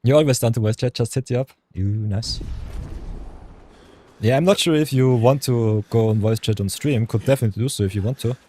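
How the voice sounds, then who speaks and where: Deep-voiced male streamer chatting. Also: warm tone, a little hiss or hum. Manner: chatty, talks to chat viewers, hums and mutters while thinking. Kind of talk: casual live-stream chat over gameplay.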